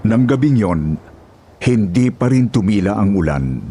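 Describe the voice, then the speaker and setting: Deep husky male horror narrator. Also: big pitch swings, a little slow, dark tone. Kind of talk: scripted horror story narration. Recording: music behind the voice.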